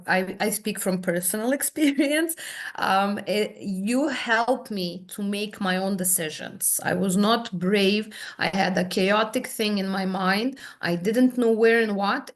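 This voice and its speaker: A woman, a therapy client describing her experience in halting, searching speech full of restarts. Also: medium pitch. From Serbia. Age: 30s. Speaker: hypnotherapy client guest. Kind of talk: personal testimony in conversation.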